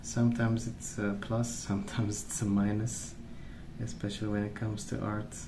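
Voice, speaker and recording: A man speaking softly in a hushed, unhurried ASMR ramble, musing as he goes. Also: on the low side, little pitch movement, reverberant, noisy background. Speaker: ASMR video host. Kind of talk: soft-spoken ASMR rambling while colouring.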